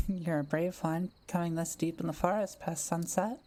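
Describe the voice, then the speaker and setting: Kind solitary forest witch, played by a woman, lowish mellow voice, unhurried and softly playful, for roleplay audio drama. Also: fairly even intonation. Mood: curious, welcoming.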